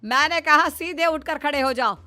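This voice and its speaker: A woman's voice: sits high, normal speed, bright, forceful tone, pitched above the performer's own voice. A woman voicing a strict, sarcastic middle-aged mother-in-law in a cartoon story, scolding in an exasperated way. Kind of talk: Hindi cartoon story dialogue. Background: background music.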